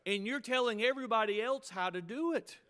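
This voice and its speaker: A man's voice: extremely high pitch, fairly bright tone. Emphatic preacher in his fifties. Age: 50s. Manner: emphatic preaching with rising, punchy peaks and quick asides. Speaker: pastor. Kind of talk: sermon to pastors.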